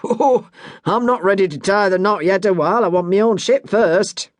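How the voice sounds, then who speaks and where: A woman's voice: mid-range voice, normal speed, no room sound, pitched above the performer's own voice, close to an everyday voice. A woman voicing a good-natured young man, a would-be sailor, talking in a teasing, easygoing way.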